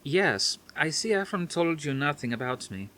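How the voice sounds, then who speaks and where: A man's voice: on the high side, very animated, moderate pace, sharp tone, pitched above the performer's own voice. A man reading an audiobook, voicing a stately grandmother near seventy with a faint Dutch accent who speaks firmly and formally. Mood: amused. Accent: faint Dutch, as put on. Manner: firm, soothing, formal phrasing.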